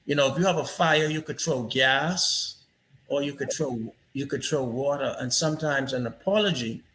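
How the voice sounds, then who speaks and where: A man's voice: mid-range voice, normal speed, a bit bright, a little hiss or hum, poor audio. A man hosting an interview, talking reflectively and telling stories with frequent "you know" asides. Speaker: interview host. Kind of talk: casual interview conversation.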